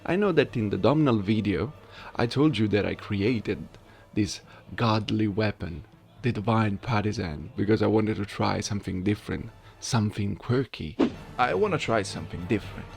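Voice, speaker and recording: Low-voiced man chatting, slightly gravelly. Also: expressive, moderate pace, a little mellow. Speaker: video creator and amateur voice actor. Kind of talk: casual commentary on a game voice-acting challenge.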